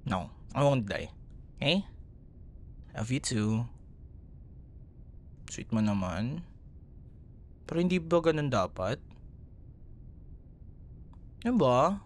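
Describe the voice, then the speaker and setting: A man plays a young Filipino boyfriend in an intimate ASMR roleplay, affectionate and protective. His speech is slow and very lively in pitch, moving between playful teasing and tender, soothing murmurs. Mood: tender reassuring.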